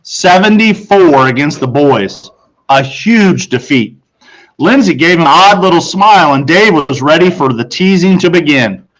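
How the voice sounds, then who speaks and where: A man with a fairly high voice narrates a children's book. His reading is expressive and dramatic, and he sets off phrases with deliberate pauses. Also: rough recording. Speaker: audiobook narrator.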